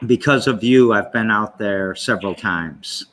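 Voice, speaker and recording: A man's voice: lowish voice, measured pace, a bit bright, a little hiss or hum. A man hosting a casual interview podcast, talking in a loose, rambling way with many fillers and restarts. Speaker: podcast host. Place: indoor sanctuary room of a venue.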